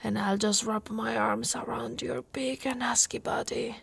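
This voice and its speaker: A woman playing an affectionate, teasing female orc warrior wife in an intimate, soft-spoken ASMR roleplay. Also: on the high side, steady tone, slight background noise, heavily acted. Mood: cozy, loving. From Italy. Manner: soft, intimate, unhurried delivery with breathy whispered stretches.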